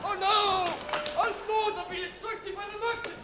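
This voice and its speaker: Pantomime sidekick, student actor: dim, excitable, high-pitched, recorded in an echoey hall with clear noise. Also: moderate pace, a crowd in the background. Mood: panicked. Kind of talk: pantomime stage acting. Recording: echoey, noisy amateur stage recording.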